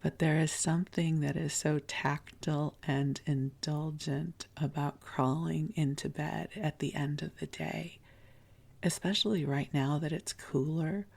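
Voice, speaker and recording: A middle-aged woman with a froggy morning voice hosts a sleep podcast from bed. She talks very slowly and evenly, slipping into a husky half-whisper. The tone stays low and flat, with a light gravelly fry. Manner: slow, soothing, partly whispered. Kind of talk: soft-spoken sleep podcast talk. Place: bedroom, under a blanket fort.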